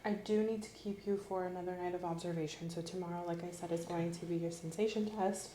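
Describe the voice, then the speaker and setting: A woman giving calm, slow, clinical instructions as a doctor in an ASMR roleplay, sometimes dropping into a whisper. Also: lowish voice, a little hiss or hum. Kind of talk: soft-spoken ASMR medical roleplay. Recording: roomy echo.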